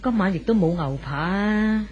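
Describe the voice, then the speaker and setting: A fussing, respectful housemaid played by a woman in an old radio play. Her voice is mid-pitched and solicitous, on a dull, slightly noisy tape. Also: lively intonation, moderate pace, a little mellow, close to an everyday voice. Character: deferential, fussing female household servant. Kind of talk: 1970s radio drama acting.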